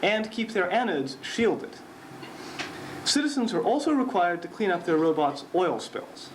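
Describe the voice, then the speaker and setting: A man playing a deadpan news anchor of the future, reading a humorous newscast in a measured, mock-serious way. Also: high-pitched, low-quality recording. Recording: faint noise, amateur 1970s recording.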